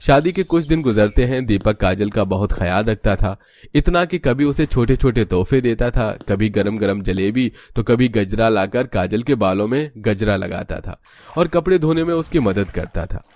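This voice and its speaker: A man's voice: on the low side, animated, normal speed, a little mellow. A man narrating a scripted cartoon story.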